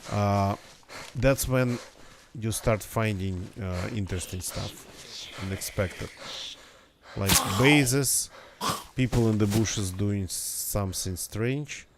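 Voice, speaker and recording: Slow, slightly nasal man streaming. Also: lowish voice, noisy background. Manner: rambling, self-correcting. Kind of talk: casual gameplay commentary.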